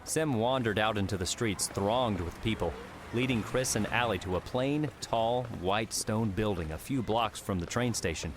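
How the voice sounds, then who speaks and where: A man's voice: fairly low pitch, normal speed, noisy background. A man reading scripted audiobook narration as the narrator of a novel. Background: soft music.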